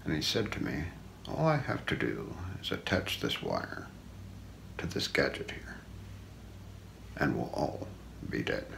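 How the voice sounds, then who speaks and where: Middle-aged male ASMR narrator: unhurried, hushed true-crime read with wide swings in pitch, faint background noise. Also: huge pitch swings, gravelly. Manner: hushed, deliberate, often breaking into near-whisper. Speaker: ASMR role-play narrator. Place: small room indoors.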